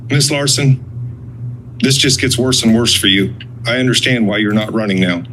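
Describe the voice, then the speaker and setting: A man's voice: mid-range voice, normal speed, a bit bright, slight background noise, poor audio. A blunt, sarcastic man giving confrontational public comment at a meeting, swearing and accusing.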